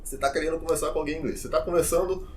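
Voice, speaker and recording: Chatty man, slightly high voice. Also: racing, a little hiss or hum. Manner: chatty, informal, many fillers and asides; lively, addresses viewers directly. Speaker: English teacher. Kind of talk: casual teaching vlog.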